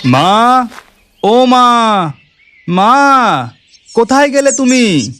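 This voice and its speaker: A man's voice: very high-pitched, very animated, very slow, sharp tone, a little hiss or hum, heavily acted. A man voicing a mild young village husband and dutiful son in a cartoon. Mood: puzzled. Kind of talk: cartoon voice acting.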